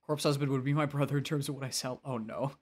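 A man's voice: mid-range voice, fast talker. Nasal man chatting on a game stream. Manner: expressive, self-deprecating, breaking off to answer chat. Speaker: game livestreamer. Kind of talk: casual livestream chat while gaming.

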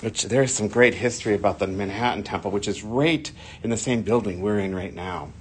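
Brief: man in his 40s, fairly low voice, hoarse from losing his voice, chatty live-stream host reading comments off a phone at arm's length in an indoor hallway. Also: fairly quick, slight background noise. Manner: chatty, reads viewer comments aloud. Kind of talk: casual live-stream tour chat. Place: indoor church building hallway. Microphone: phone held at arm's length.

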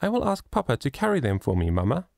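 A man reading a storybook, voicing an eager, chattering little girl who pleads and bubbles with excitement. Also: medium pitch, fast talker, vocal fry, no echo, clean studio sound, voice pushed up. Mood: eager pleading.

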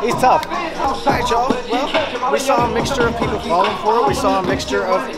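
A man's voice: very high-pitched, fairly even intonation, fairly quick. A young man in his twenties hosting a prank vlog, talking laid-back and slangy with plenty of swearing, on a lapel mic inside a convention hall. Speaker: YouTuber host. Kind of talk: casual prank vlog chat. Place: indoor sneaker convention hall. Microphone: clip-on lapel mic. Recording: loud crowd and music behind.

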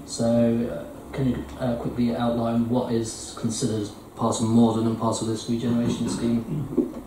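A man's voice: mid-range voice, monotone, normal speed, background noise. A councillor, a man, asking a hesitant question with many uhs at a meeting. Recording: echoey committee room from far off.

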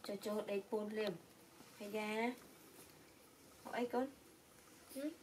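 A woman's voice: medium pitch, fairly even intonation, slow, darkish tone. A young woman talking casually to pet animals in a coaxing way, repeating short words. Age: young adult. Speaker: pet monkey caretaker. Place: small indoor room, on a bed. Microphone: camera mic a little way off. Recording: distant mic with clear background noise.

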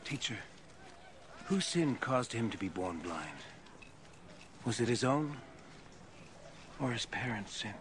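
A man's voice: mid-range voice, big pitch swings. An actor playing a puzzled disciple questioning his teacher in a film drama. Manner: slow, questioning. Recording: noisy outdoor background.

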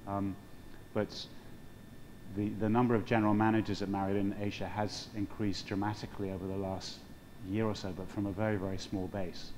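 Middle-aged hotel manager, measured panel talk, lowish voice, lapel mic in a conference hall. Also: male voice, flat delivery, darkish tone, slight background noise. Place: large conference hall stage.

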